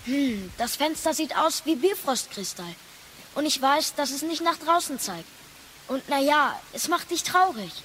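A woman's voice: sits high, animated, a little slow, fairly bright tone, slight background noise. A woman playing a curious, earnest young boy in an audio drama, with a hesitant, inquisitive manner. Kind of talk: dramatic audio play acting.

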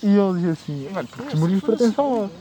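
A man's voice: sits high, expressive, quick pace, dark tone. A young man from Portugal, a university student, with a European Portuguese accent, rambling with fillers as a podcast guest. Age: young adult. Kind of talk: casual chat.

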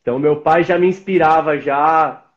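A man's voice: a little high, steady tone, normal speed. Slangy São Paulo man on a lo-fi call. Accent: São Paulo Brazilian Portuguese. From São Paulo, Brazil. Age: 40s. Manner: slangy, laid-back, chummy. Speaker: interview guest. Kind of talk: casual live-stream interview. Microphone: video call. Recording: lo-fi video call audio.

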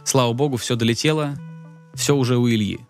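A man's voice: mid-range voice, a little fast, darkish tone, soft background music. A man casually telling a long story in a podcast chat, rambling and full of fillers. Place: Tbilisi.

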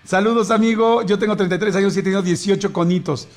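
A man with a Mexican Spanish accent hosting a casual morning radio show. He reads listener messages aloud, jokes around, laughs often and rattles through the messages quickly. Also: high-pitched, fairly even intonation.

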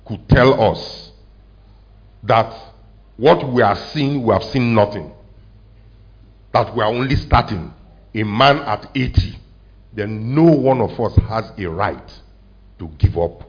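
A man, a pastor preaching a conversational sermon to his congregation, often asking them questions and slowing down to stress a point. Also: fairly low pitch, muffled recording. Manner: conversational, asks the congregation questions, slows for emphasis. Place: church hall with congregation.

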